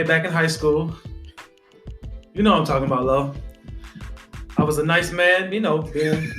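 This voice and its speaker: An American man with a slightly high voice hosts a casual podcast. He talks informally, with faint music underneath. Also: fairly bright tone. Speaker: podcast host. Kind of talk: casual podcast hosting and chat.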